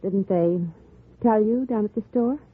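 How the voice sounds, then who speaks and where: Husky frontier lady doctor, old radio. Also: medium pitch, unhurried. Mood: polite, wry. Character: polite, dryly rueful frontier woman doctor. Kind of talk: old-time radio western acting. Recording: muffled, tinny old radio broadcast.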